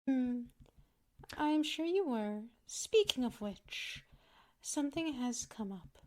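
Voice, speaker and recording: A woman's voice: sits high, lively intonation, slow pace. A woman playing a gracious, ambitious, secretive duchess in an ASMR roleplay, with a refined, courtly way of speaking. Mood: guarded.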